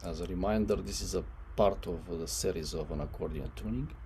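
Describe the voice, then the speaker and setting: Very deep, gravelly male webinar host. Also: fairly quick, dark tone. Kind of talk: reading out a webinar introduction. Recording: noisy line with background ambience.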